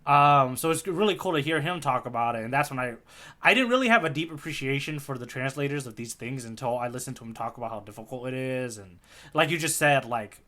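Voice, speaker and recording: A man's voice: mid-range voice, expressive, quick pace, pushed and bright. A man co-hosting a podcast, recapping a story in casual, enthusiastic chat. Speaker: podcast co-host.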